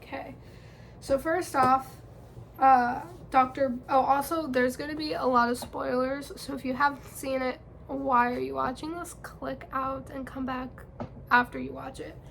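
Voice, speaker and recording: Congested young woman vlogger, high, unhurried, casual rambling chat. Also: steady tone, gravelly, fairly bright tone, slight background noise. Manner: chatty, rambling with fillers. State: congested from a cold.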